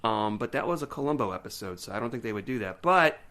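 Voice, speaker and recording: A man's voice: mid-range voice, animated, fast talker, fairly bright tone. A man co-hosting a podcast who chats casually with wry, rambling asides and dry jokes. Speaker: podcast co-host. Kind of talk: casual chat about a TV episode.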